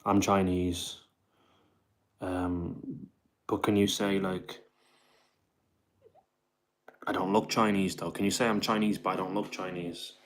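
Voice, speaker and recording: A man hosting a casual livestream who answers his chat in a rambling way, calls viewers "bro", and keeps his voice down indoors at home. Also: deep voice. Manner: keeps his voice down so he won't disturb the landlord. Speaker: livestream host reading chat comments.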